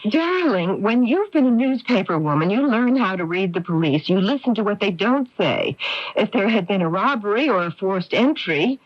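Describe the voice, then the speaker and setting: An actress playing a worldly, evasive, sharp-tongued middle-aged newspaperwoman, with theatrical emphasis, in a 1980s radio mystery. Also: medium pitch, big pitch swings, moderate pace, dry recording. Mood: persuasive, defensive. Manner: theatrical, emphatic, repeats words. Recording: dull, dry lo-fi radio broadcast.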